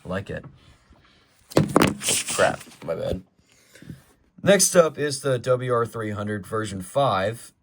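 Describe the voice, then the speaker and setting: A man's voice: fairly low pitch, lively intonation, slow, gravelly, pauses often, very noisy. A man giving a casual, rambling show-and-tell as a collector, in an informal and opinionated way. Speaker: collector presenting a weather radio collection.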